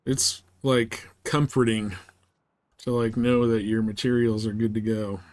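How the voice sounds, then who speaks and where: A man's voice: on the low side, level tone, measured pace, a little mellow, dry recording, studio quality. A man giving casual live commentary on a game, chatting and thinking aloud. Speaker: game streamer.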